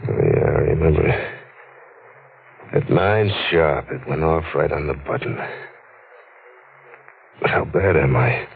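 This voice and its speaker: An actor playing a dogged, wry investigator in a 1940s radio noir, with clipped, sardonic delivery. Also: male voice, extremely low pitch, lively intonation, measured pace, creaky, long pauses, a little hiss or hum, heavily acted. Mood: dazed, grim. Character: dogged, wry, hard-boiled male investigator narrating his case. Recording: muffled vintage radio recording with music.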